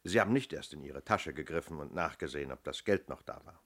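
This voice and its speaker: Middle aged cop inspector in a radio play, really deep, varied melody, sceptical short probing questions. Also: male voice, animated, fast, a bit bright, heavily acted. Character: methodical, sceptical male British police inspector, middle-aged. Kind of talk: German radio play acting.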